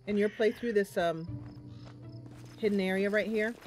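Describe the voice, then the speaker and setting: A woman's voice: medium pitch, normal speed, a little mellow. Female game streamer, chatty and casual, thinks aloud to viewers; music underneath. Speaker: gaming channel host. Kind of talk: casual gameplay commentary.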